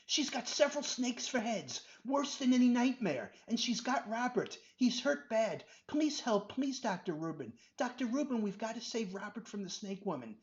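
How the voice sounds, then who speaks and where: A man's voice: very high-pitched, big pitch swings, moderate pace, hardly any pauses, voice pushed up, heavily acted. A man voicing a frightened young boy who pleads urgently for help in a storybook reading. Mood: frantic. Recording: dull lo-fi recording.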